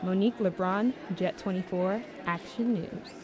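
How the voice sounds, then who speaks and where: A young woman reporter reading scripted local news stories with a crisp delivery and sign-offs. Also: mid-range voice, normal speed, a crowd in the background. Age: young adult.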